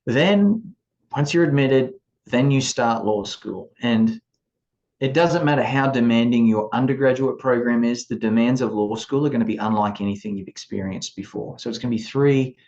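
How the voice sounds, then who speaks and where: A man in his forties with an Australian accent, giving a measured, explanatory talk to students as an admissions advisor over a video call. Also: mid-range voice, a little mellow. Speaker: law school admissions advisor. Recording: dull lo-fi video call audio.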